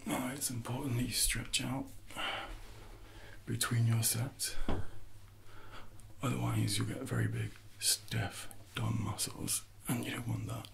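A man in his thirties speaking softly and breathily in an ASMR roleplay as a personal trainer, often slipping into whispers while instructing. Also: medium pitch, fairly even intonation, measured pace, creaky, background noise. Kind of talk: soft-spoken ASMR roleplay.

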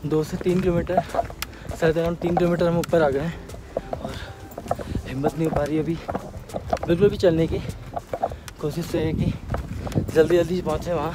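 A young man in his twenties narrates a travel vlog while he rides and hikes up a misty mountain trail, talking to his viewers in a chatty way. His voice sits a bit high for a man. Loud wind roars over the camera mic, which he holds out at arm's length on a stick. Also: fairly even intonation, normal speed, pauses often. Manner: chatty, addresses viewers directly, asks companions questions. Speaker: motovlogger host. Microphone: handheld camera on a selfie stick at arm's length. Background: loud wind and outdoor noise.

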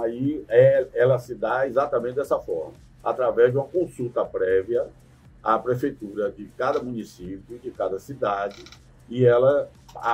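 A man, a guest in a radio studio interview explaining things in a measured way, often adding "né". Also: lowish voice, darkish tone, slightly rough, muffled recording. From Salvador, Bahia, Brazil. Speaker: guest, real estate developer. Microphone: studio condenser mic on boom arm.

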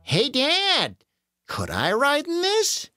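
A woman's voice: high-pitched, wildly swooping pitch, takes it slowly, bright, forceful tone, soft background music, voice pushed up, heavily acted. A woman voicing a bold, eager young boy with a confident manner in a children's story.

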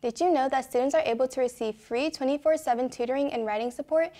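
A teenage girl hosting a school news show, reading announcements in a bright, upbeat anchor style from a studio desk. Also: sits high, quick pace, over loud music. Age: teens.

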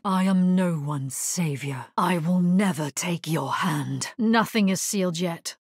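A woman playing a grave, resolute necromancer hero in a video game, husky-voiced, speaking in a measured, solemn and terse way. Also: fairly low pitch, a little mellow, no echo, studio quality. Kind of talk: scripted video game character lines.

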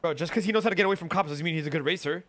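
A man's voice: on the high side, rapid-fire, dry recording. A man in casual, teasing and pushy phone banter in a role-play game, cursing freely. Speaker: streamer playing a character.

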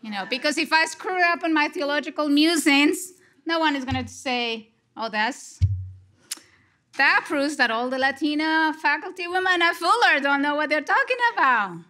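A middle-aged woman from Colombia with a Colombian Spanish accent, giving an expressive conference response from a lectern on a large stage, speaking into a headset mic. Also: sits high. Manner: expressive, gesturing, slow and deliberate. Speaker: lecture respondent, psychology professor.

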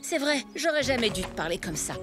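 A woman playing a caring, protective mother with a tender, expressive delivery in a French children's cartoon. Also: a little high, a little fast, loud music behind the voice. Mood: apologetic, grateful.

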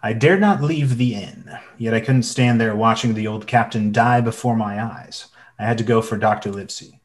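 A man's voice: fairly low pitch, normal speed, natural voice. An actor playing a grown man who narrates his boyhood adventure in earnest, literary storytelling. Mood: earnest, reflective. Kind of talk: scripted dramatic narration.

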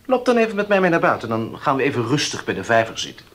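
Halting young gentleman. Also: medium pitch, huge pitch swings. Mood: hesitant. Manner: hesitant, halting. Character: hesitant young gentleman, Frits. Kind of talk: 1970s radio play acting.

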